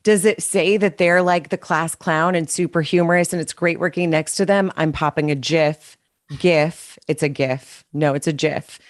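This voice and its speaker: A woman talking quickly and playfully on a sales panel. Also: low-pitched, steady tone, no room sound, clean studio sound. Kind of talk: casual panel discussion.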